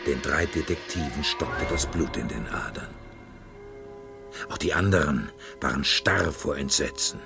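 A man with an extremely low voice narrates a suspenseful radio play. His tone is gravelly and full of creak, and music plays faintly behind him. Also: unhurried. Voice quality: very deep, gravelly. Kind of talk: suspenseful scripted audio drama narration.

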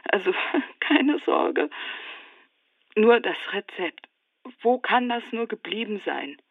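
Radio drama: panicked female patient, halting and repetitive, leaving a voicemail, tinny dry telephone sound. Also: medium pitch, animated, gravelly, no echo, full character voice. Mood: panicked, pleading. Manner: halting, stammering, repeating herself. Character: panicked sleepless patient pleading on a doctor's voicemail. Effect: telephone filter. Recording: tinny narrow phone line, dry.

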